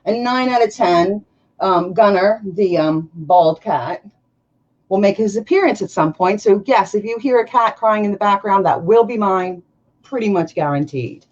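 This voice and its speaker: A woman's voice: medium pitch, normal speed. A woman in her forties, nasal and congested from allergies, chatting and laughing often as she hosts a casual livestream interview. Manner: chatty, laughs often, quotes others with lively voices. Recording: dull lo-fi stream audio.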